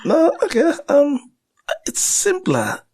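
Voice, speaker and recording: A man's voice: extremely high pitch, huge pitch swings, slow pace, a little mellow. A man, a Zulu speaker, chatting as a guest writer in a casual interview, thoughtful and anecdotal, often restarting his sentences.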